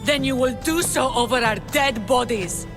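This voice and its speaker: A woman's voice: high-pitched, normal speed, over music. An actress playing a desperate, defiant starving peasant mother in a video game, pleading with raw emotion. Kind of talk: dramatic game cutscene acting.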